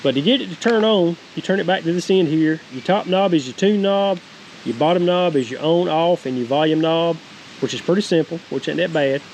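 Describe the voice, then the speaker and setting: Middle aged southern guy doin a folksy review, talks quick, kinda higher voice, bit of hiss outside. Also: a little mellow, rough recording. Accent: Southern American. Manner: folksy, plain-spoken, repetitive. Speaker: vlogger reviewing a product. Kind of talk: casual product review. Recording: faint hiss and outdoor noise.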